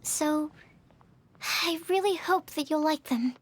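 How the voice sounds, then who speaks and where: A woman voicing a shy, kind young researcher in a video game, speaking in a gentle, earnest way. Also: sits high, slow pace, slight background noise.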